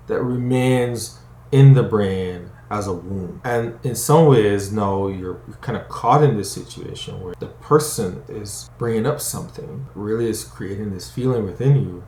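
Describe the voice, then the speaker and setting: A man from Barbados, a conflict coach and psychologist, giving an educational talk to camera in a conversational way and often asking "right?". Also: lowish voice. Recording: roomy echo.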